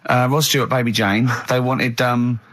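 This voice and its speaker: An English man with a mid-pitched voice hosts a radio show in casual comic banter. He talks conversationally, as someone riffing with friends in a studio. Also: little pitch movement, normal speed, fairly bright tone.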